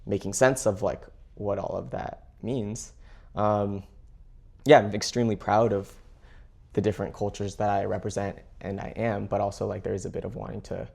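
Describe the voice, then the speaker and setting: A young man from the Seattle area, talking as an interview guest, halting with many fillers and restarts. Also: level tone, darkish tone. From Seattle area, United States. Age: young adult. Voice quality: gravelly low voice. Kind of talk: casual conversation.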